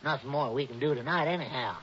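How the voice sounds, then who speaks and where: An actor playing a cautious, folksy old Western guide with a rural American drawl in a 1960s radio drama. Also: male voice, mid-range voice, fast, a bit bright, natural voice. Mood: folksy, cautioning. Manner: folksy, drawling, ungrammatical backwoods talk. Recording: dull, noisy vintage radio recording.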